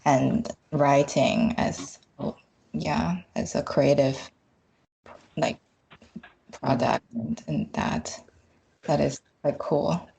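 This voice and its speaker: A woman's voice: sits low, fairly even intonation, drawn-out words, vocal fry, pauses often, warm tone, slight background noise, rough recording. A woman speaking as a podcast guest on an online call, hesitant and searching for words in casual conversation.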